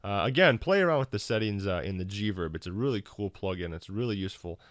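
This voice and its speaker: Man, fairly low voice, dry close recording, informal tutorial host, hesitant, self-deprecating. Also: animated, fast, no echo. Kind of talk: casual software tutorial narration.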